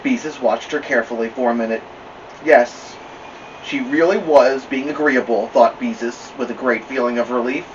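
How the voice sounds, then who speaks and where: A man with a mid-pitched voice narrates a children's story. He reads as a storytime host would. The recording is dull and away from the mic, with faint steady room noise. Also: moderate pace, far from the mic. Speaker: storytime reader. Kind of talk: children's audiobook-style narration.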